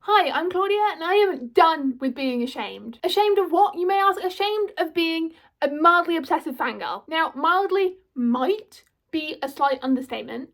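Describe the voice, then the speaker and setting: A woman's voice: very high-pitched, animated, moderate pace, few pauses, a bit bright. A twenty-one-year-old woman, chatting to camera in a casual, self-mocking vlog style. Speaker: vlogger.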